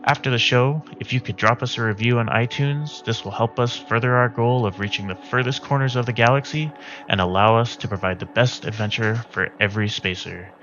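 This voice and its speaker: A man's voice: medium pitch, steady tone, fairly quick, fairly bright tone. A man speaking to listeners as the host of a tabletop adventure show. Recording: slightly dull audio with faint music.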